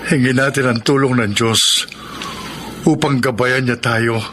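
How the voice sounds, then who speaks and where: An actor playing a gentle, devout middle-aged father who counsels in a measured, solemn way in a Filipino radio drama. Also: medium pitch, steady tone, noisy background, natural voice. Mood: solemn, fatherly.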